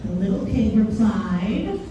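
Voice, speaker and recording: A woman's voice: medium pitch, lively intonation, slow pace, dull audio, over music. A woman narrating a fairy tale live for a children's puppet show. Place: indoor preschool room, live show. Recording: distant, echoey, noisy room with audience. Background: audience chatter and room noise.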